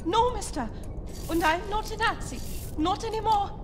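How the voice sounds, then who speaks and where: A woman's voice: extremely high pitch, normal speed, background noise. An actress playing a timid, earnest young woman defector in a video game. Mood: timid, defensive. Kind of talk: dramatic game cutscene acting. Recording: echoey, music behind.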